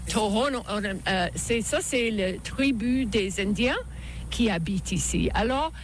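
Older Canadian woman, slow-spoken. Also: medium pitch, lively intonation. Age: 60s or older. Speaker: local guide, interview guest. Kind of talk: casual conversational introduction. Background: faint noise.

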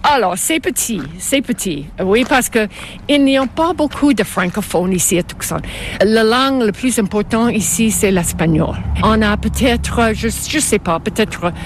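An older woman from Canada, a native English speaker with an English Canadian accent, answering interview questions in halting second-language speech. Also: a little high, expressive, a little hiss or hum. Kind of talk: casual conversational interview.

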